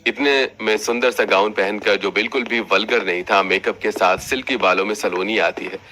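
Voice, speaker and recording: A man's voice: on the low side, steady tone, normal speed, music in the background. A man reading scripted narration for a cartoon family story.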